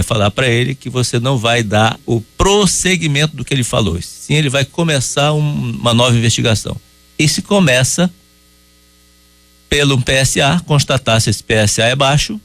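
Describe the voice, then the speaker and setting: Guy doctor on the radio, gravelly voice, dry close studio sound, explains things slow and steady. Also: medium pitch, no echo. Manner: calm, explanatory, measured with hesitations. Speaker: urologist guest. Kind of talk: radio call-in medical advice.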